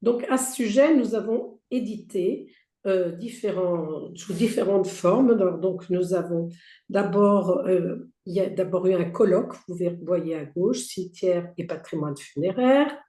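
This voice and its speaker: Hoarse woman presenting slides. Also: on the low side, unhurried, mellow. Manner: reads out slide points with frequent fillers and restarts. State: hoarse, losing her voice. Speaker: presenter for a heritage federation. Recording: roomy echo.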